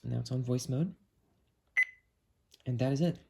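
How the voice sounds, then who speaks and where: A man's voice: medium pitch, gravelly. Man casually reviewing a gadget, noisy recording. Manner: unhurried, thinking aloud while testing. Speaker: video creator demonstrating a weather radio. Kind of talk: casual hands-on review narration.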